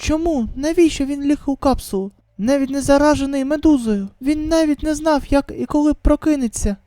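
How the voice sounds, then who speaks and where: A woman acting a high-strung, emotional young survivor in a manga dub. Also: a little fast, dark tone, close to an everyday voice. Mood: anguished.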